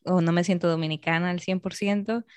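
A woman's voice: lowish voice, fairly even intonation, a little fast, a little mellow, clean tone, dry recording. Thoughtful woman host from Peru, dry sound. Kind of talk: casual conversation.

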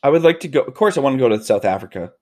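Rambling Canadian-raised podcast co-host. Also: male voice, medium pitch, lively intonation, racing, darkish tone. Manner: rambling, self-correcting, laughs easily. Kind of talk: casual podcast chat.

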